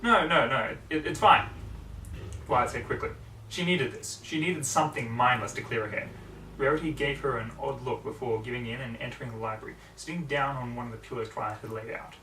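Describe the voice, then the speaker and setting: A man's voice: mid-range voice, fast, sharp tone. A man reading scripted fiction aloud as an amateur audiobook narrator. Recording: roomy, distant mic, faint background noise.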